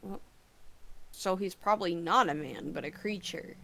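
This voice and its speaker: A woman's voice: on the low side, normal speed, gravelly, fairly bright tone. A woman chatting casually as she reacts to videos with others.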